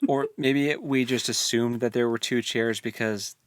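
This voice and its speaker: A man's voice: mid-range voice, fairly even intonation, moderate pace, smooth, dry recording. Man, mid-range voice, rambling, easygoing, casual book talk, dry close sound. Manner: rambling, lots of fillers and restarts, easygoing. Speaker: book podcast co-host.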